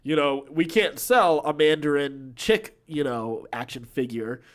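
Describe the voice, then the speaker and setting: Man, fairly high pitch, podcast co-host, casual entertainment news chat. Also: a little slow.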